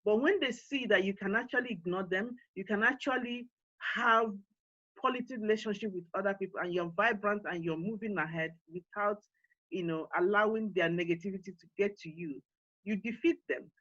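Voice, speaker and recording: Nigerian woman, summit host; encouraging, halting delivery full of fillers; muffled video-call sound. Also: medium pitch, fairly bright tone, no room sound. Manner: earnest, encouraging, with frequent fillers and restarts. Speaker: forum co-founder and CEO hosting an online summit. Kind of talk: panel talk and closing remarks.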